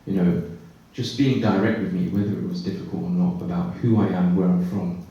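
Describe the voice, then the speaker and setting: A man on a conference panel telling his own story, reflective and pausing mid-thought. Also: low voice, fairly even intonation, mellow, dull audio. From Britain. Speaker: panelist, care leaver. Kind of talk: personal testimony on a panel. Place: conference hall. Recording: echoey hall, voice far from mic.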